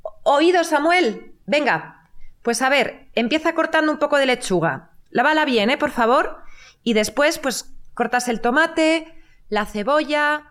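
A woman's voice: high voice, animated, unhurried, a bit bright. An actress playing a bossy, teasing, health-minded young woman in conversational audio comedy. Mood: eager, instructing. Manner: conversational, correcting, assertive. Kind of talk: Spanish-language audio fiction comedy acting.

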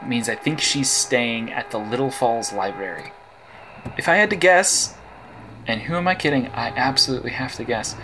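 A man's voice: mid-range voice, normal speed, sharp tone, slight background noise, lightly acted, poor audio. An actor playing a dry, wisecracking male vigilante detective who dictates case logs. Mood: focused. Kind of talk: audio drama acting.

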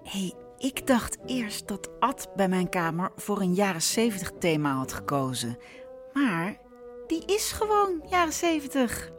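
A woman's voice: mid-range voice, big pitch swings, a little slow, faint music behind. A woman playing an ironic, needling grown daughter in a naturalistic radio drama. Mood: wry. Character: sarcastic, probing grown daughter.

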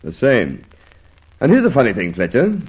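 An actor playing a gruff, exasperated and shrewd police inspector in an old radio drama, brusque, clipped and dry. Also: male voice, medium pitch, huge pitch swings, moderate pace, a little mellow. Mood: dry, suspicious. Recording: muffled old radio recording.

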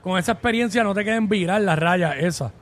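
A Puerto Rican man co-hosting a radio show, talking with a notably high voice. He is upbeat and enthusiastic, and he teases his co-host. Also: brisk, fairly bright tone. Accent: Puerto Rican Spanish. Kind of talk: radio interview.

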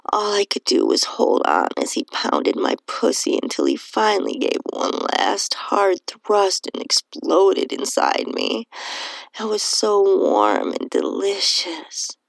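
A woman narrating a first-person erotic story in an intimate, breathy way, often dropping toward a whisper. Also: lowish voice, low-quality recording. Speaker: podcast host reading own stories.

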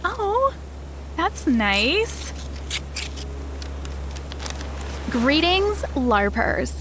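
A woman's voice: very high voice, very animated, extremely slow, noisy background, exaggerated voice. A woman playing an earnest, eager, spacey free-spirited survival game contestant who gushes with lots of filler words. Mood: reading aloud. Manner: gushing, filler-heavy, spacey.

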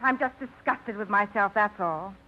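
A woman's voice: mid-range voice, normal speed, natural voice. An actress playing a flustered small-town woman in a 1950s radio drama who scolds herself, on a dull old radio recording with faint hiss. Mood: apologetic. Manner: flustered, self-scolding, laughing it off.

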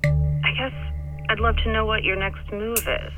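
A woman's voice: medium pitch, level tone, unhurried, over music. An actress playing a coy, hesitant former employee, a woman angling for work on a telephone voicemail in an audio drama. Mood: coy, hopeful. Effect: telephone voicemail filter. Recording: dull band-limited phone voicemail.

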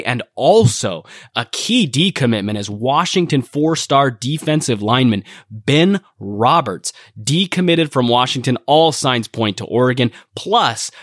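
Male podcast host, nasal from a cold, emphatic and energetic delivery, middle-pitched voice, dry close-mic sound. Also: no room sound. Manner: energetic, emphatic, repeats phrases for stress. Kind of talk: recruiting news podcast monologue.

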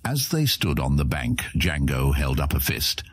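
Deep, husky male audiobook narrator. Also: big pitch swings, unhurried, no room sound. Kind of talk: scripted fantasy narration.

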